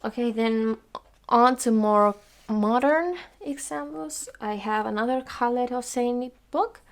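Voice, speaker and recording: Young Finnish-accented woman explaining books. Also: on the high side. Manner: careful, measured, occasional restarts mid-word. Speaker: book vlogger. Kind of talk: explanatory vlog talk.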